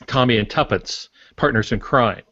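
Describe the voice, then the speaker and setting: A man's voice: mid-range voice, normal speed, a bit bright, no echo. An older man, chatting casually about books as a podcast co-host. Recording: dull lo-fi podcast audio.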